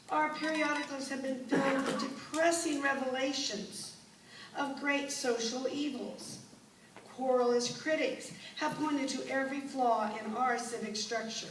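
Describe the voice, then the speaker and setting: A woman playing an impassioned advocate for the blind, reciting a formal, measured speech in a declamatory dramatic style. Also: high voice. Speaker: performer in a dramatization. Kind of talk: dramatic recited oration before an audience. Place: indoor room, recorded from a distance. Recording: roomy, distant mic, background noise.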